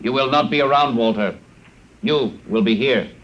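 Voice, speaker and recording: A man playing a cold, precise alien conqueror in a 1950s radio drama, speaking in a flat, formal way. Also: mid-range voice, normal speed, heavy background noise, natural voice. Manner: flat, formal, emotionless speech. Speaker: alien captor.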